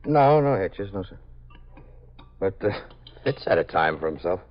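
A man's voice: low-pitched, sing-song, measured pace. A man with an American accent playing a tired, wry detective lieutenant with an easygoing manner in a 1950s radio drama. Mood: wry, amused. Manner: easygoing, anecdotal, deferential. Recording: muffled 1950s radio recording.

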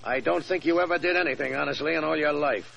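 An old radio recording with faint noise carries a hard-bitten race-car owner. He is suspicious and accusing, with a gravelly, menacing delivery in clipped crime-drama style. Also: on the high side, steady tone, fast, a bit bright, rough recording.

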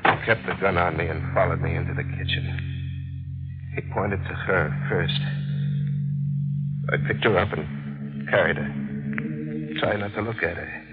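A man's voice: low-pitched, moderate pace, pauses often, lightly acted. An actor playing a frightened working man who recounts his ordeal in a grave, tense 1940s radio thriller narration. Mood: grim recounting. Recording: muffled old radio, music behind.

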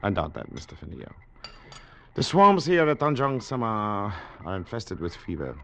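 Courteous district controller, radio drama; measured and probing, lowish voice with very lively intonation. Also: male voice, full character voice. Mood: ominous, unhurried. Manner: measured, formal, politely probing. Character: unhurried colonial district controller, courteous and skeptical. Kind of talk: 1940s-50s radio drama acting.

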